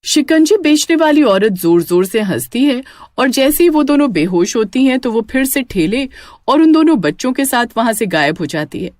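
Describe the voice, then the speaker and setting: A woman's voice: fairly high pitch, very animated, moderate pace, darkish tone, no room sound. Dry-recorded woman narrating a story. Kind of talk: scripted children's horror story narration.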